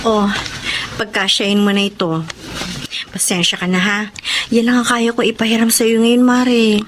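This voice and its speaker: A woman's voice: a little high, little pitch movement, moderate pace, natural voice. A woman playing a sympathetic, chatty neighbour and confidante in a Filipino radio drama. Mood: consoling. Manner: consoling, chatty.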